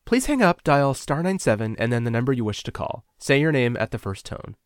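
A man voicing a brisk woman telephone operator reciting routine instructions in an audiobook. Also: medium pitch, big pitch swings, no room sound, clean studio sound, voice pushed up, lightly acted. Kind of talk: audiobook character voice.

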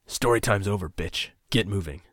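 A man's voice: fairly low pitch, moderate pace, fairly bright tone, no echo, clean studio sound. A man voicing a volatile, desperate young captor. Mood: harsh. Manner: harsh taunts turning to pleading whispers. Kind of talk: audiobook character voice.

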